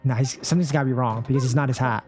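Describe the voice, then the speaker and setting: A woman's voice: extremely low pitch, racing, over music. A woman game streamer giving casual, slangy, offhand reaction commentary while she plays.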